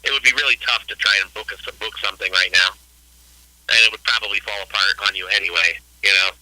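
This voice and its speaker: A man's voice: on the low side, fast talker, sharp tone, no room sound, rough recording. A man in his late forties, a guest musician chatting casually in an interview, rambling and laid-back with many fillers. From Ohio, US. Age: 40s.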